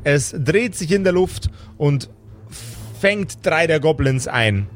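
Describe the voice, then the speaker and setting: A man's voice: a little high, expressive, slow pace. A man improvising vivid narration as game master of a fantasy tabletop game, slipping into colloquial table talk. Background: background music.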